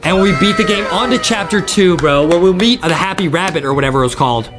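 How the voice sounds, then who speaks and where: High-pitched, hyped young American man. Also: fast, over music. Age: young adult. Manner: hyped, exclamatory, slangy. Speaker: gaming YouTube host. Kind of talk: excited gameplay commentary.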